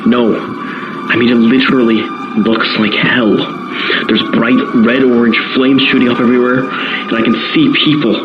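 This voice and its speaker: A man's voice: mid-range voice, level tone, moderate pace, highs cut off, lightly acted. A man playing a shaken news reporter giving earnest, descriptive live commentary on a disaster in an audio drama. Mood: horrified. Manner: descriptive, earnest, increasingly dismayed. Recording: noisy location with loud background ambience.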